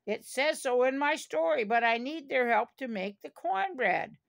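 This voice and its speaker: Earnest, slow-talking storybook hen read by a woman. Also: sits high, lively intonation, creaky, heavily acted. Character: female red hen, hardworking storybook character seeking help.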